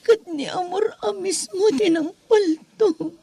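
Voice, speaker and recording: A woman's voice: very high voice, slow, mellow, dry recording, full character voice. A woman playing an anguished, reproachful grown daughter in a melodramatic audio drama, emotional and pleading. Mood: tearful, reproachful.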